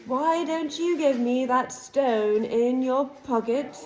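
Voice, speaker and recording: A cold, hissing dark wizard whose high, drawn-out words crawl forward at an unhurried, threatening pace, as a woman reading aloud puts him on. Every line lands as a quiet, deliberate threat. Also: poor audio. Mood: menacing. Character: cold, menacing dark wizard's face, male.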